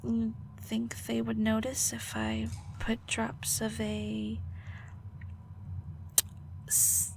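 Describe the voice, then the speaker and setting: Woman acting a high-voiced, prickly, flustered alchemist girl. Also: monotone, creaky, noisy background, heavily acted. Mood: teasing, scheming. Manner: flustered, halting, teasing, defensive. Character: prickly, guarded female alchemist, secretly caring and awkward. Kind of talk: audio roleplay acting, intimate one-sided dialogue.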